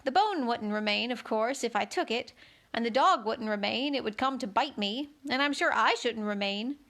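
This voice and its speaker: A woman's voice: a little high, lively intonation, normal speed, a bit bright. A woman voicing a polite, earnest, clever young girl who speaks carefully and objects politely, reading a storybook aloud. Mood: reasoning.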